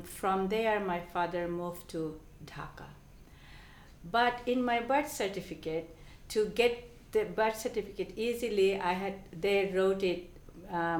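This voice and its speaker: A woman from Bangladesh with a Bangladeshi accent, telling her life story in an oral history interview, halting often with ums and restarts. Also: lowish voice, roomy sound.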